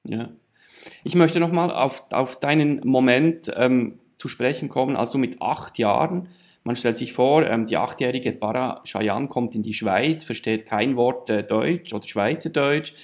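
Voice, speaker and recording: A man's voice: medium pitch, a little slow. A man in a mid-range voice leads an interview. He asks long, courteous questions, padding them with fillers as he frames each one.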